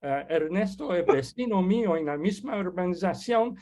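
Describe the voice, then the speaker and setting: A man whose first language is French, hosting an online webinar and introducing a guest speaker in a halting, casual way with many restarts. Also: sits high, lively intonation, mellow. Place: online video call.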